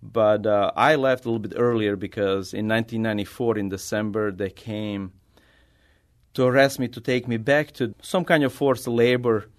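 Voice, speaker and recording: A middle-aged man from Bosnia with a Bosnian accent, a native Bosnian speaker answering interview questions as an artist in halting, non-native English. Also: fairly low pitch, steady tone. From Bosnia, former Yugoslavia. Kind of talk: conversational interview answers, personal storytelling.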